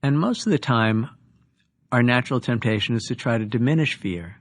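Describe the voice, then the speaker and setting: A man leading a guided meditation as a teacher, giving instructions with deliberate pauses between phrases. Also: mid-range voice, dry recording, studio quality.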